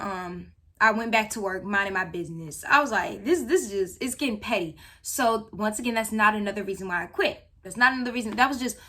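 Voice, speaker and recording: A woman of nineteen telling a story about a job to viewers in a chatty, animated way. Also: fairly high pitch. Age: young adult. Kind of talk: casual storytime.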